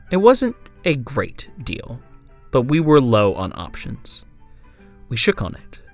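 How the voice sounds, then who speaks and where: A man playing a wary frontier traveller, narrating a scripted horror journal in a grave, measured, dramatic way. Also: lowish voice.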